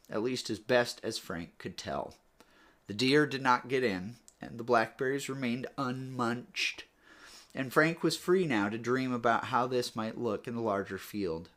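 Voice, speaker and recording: A man narrating a story in a measured read-aloud style, letting his phrases fall away at the ends. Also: mid-range voice, fairly bright tone. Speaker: author or reader narrating a book read-aloud.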